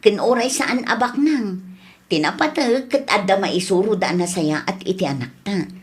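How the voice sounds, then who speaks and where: A woman playing a chatty, good-natured village wife and mother in a comedy radio drama, talking in an unhurried, conversational way. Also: mid-range voice, expressive, lightly acted. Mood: chatty, easygoing.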